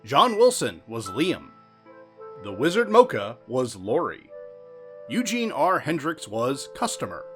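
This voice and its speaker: Male credits announcer, scripted read, lively swings in pitch, guitar behind. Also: a little slow. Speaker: announcer reading closing credits. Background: guitar music.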